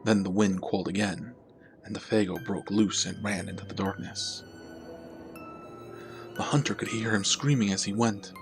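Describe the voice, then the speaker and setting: A man narrating a scripted horror story as a storyteller, in a measured, dramatic way. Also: on the low side, gravelly, music in the background. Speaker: storyteller, channel host.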